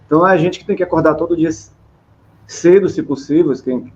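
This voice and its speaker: A middle-aged man with a Brazilian accent, hosting a casual rambling chat in a halting way with repeated words. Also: on the high side, darkish tone. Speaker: interview host. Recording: dull, lo-fi, clear background noise.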